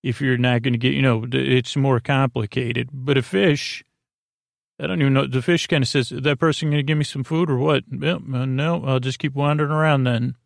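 A man hosting a bedtime podcast in a rambling, halting casual monologue, repeating himself and drifting into tangents with a gravelly voice. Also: mid-range voice, fairly even intonation, no echo, studio quality. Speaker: podcast host.

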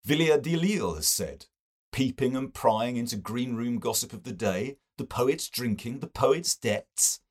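A man's voice: mid-range voice, lively intonation, measured pace. A man voicing a lofty, impatient man of letters in a novel, dismissive and exclamatory.

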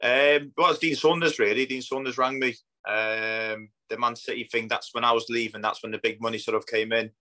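A man's voice: medium pitch, fairly even intonation, fairly quick, few pauses, sharp tone. A Polish man in his thirties, a native Polish speaker and footballer, chatting casually and matter-of-factly in an interview, his accent a mix of Polish, Scandinavian and northwest English. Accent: Polish mixed with Scandinavian and northwest English. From Poland. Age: 30s.

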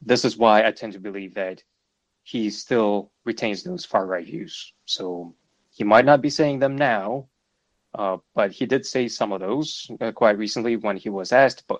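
Low-voiced man, hesitant analytical commentary. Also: dry recording, rough recording. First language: Russian. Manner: hesitant, many fillers and restarts, measured and analytical. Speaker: podcast host. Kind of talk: solo political commentary.

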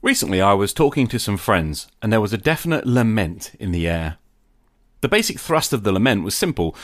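A man hosting a podcast, giving a reflective monologue in measured, emphatic phrases with deliberate pauses. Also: on the low side, dry recording, clean studio sound. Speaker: podcast host.

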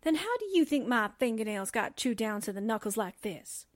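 A woman playing an excitable, slangy young newspaper assistant in a breezy, dramatic 1940s radio drama style. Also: fairly high pitch, fairly quick, dry recording, studio quality. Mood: gossipy, dramatic.

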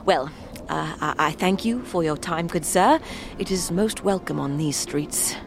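A woman voicing a plump male con man who poses as a lost gentleman, stammering and overly polite. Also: on the low side, a little slow, vocal fry, noisy background. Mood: sheepish. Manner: stammering, overly polite old-fashioned speech. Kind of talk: tabletop audio drama.

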